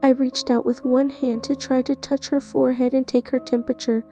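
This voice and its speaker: High gravelly woman, mellow, earnest, narrating a horror story over soft music. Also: little pitch movement, a little fast. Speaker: narrator and lead character.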